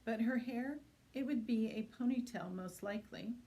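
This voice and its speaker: A woman's voice: fairly high pitch, moderate pace, warm tone. A woman reading a suspense novel aloud in the style of an audiobook, as a library reader.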